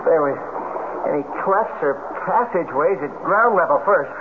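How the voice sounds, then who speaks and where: A male actor playing a practical, commanding leader of trapped cave explorers in a 1970s radio drama, talking briskly. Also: a little high, animated, natural voice. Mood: brisk, in charge. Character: practical, take-charge man leading trapped cave explorers. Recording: tinny, noisy 1970s radio broadcast.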